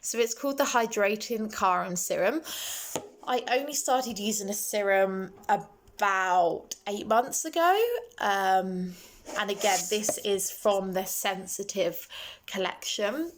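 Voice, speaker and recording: A woman's voice: medium pitch, takes it slowly, slight background noise. A young woman with a lisp, casually walking through her skincare products on camera with frequent ums and self-corrections. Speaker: skincare vlogger.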